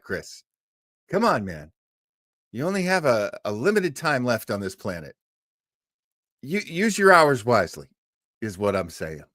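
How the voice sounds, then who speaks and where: A man's voice: fairly high pitch, fairly bright tone, dry recording, clean studio sound. A man hosting an old-time radio livestream in a casual, rambling, jokey way, chatting to his viewers. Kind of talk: casual livestream chat and introduction.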